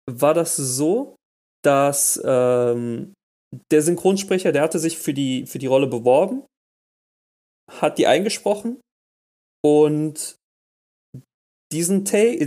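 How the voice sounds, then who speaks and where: A man co-hosting a casual podcast chat, talking animatedly and tripping over his words when he gets going. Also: a little high. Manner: animated, restarts and stammers when excited. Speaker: podcast co-host.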